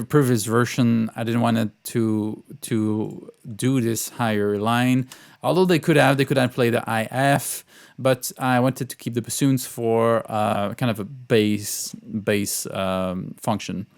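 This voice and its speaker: A man giving an informal music tutorial as a composer, thinking aloud in a halting way. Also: medium pitch, a little mellow. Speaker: composer teaching orchestration.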